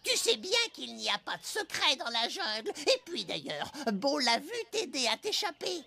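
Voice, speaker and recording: A woman voicing a chirpy, teasing little jungle animal in a dubbed cartoon, excitable and coaxing, with music underneath. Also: sits high, normal speed, creaky, full character voice.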